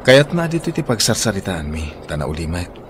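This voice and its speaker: A man's voice: low voice, very animated, a little fast, noisy background. A man acting a young man who defies his mother for the woman he loves, earnest and pleading. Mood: tender. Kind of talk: radio drama acting.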